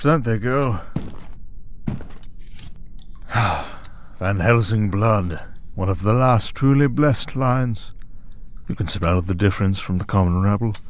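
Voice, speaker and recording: Man acting a low, smug villainous tempter. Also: lightly acted. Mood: amused menace. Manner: taunting, persuasive, theatrical, deliberate. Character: smug, tempting male monster servant of a dark master. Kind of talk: ASMR audio roleplay acting.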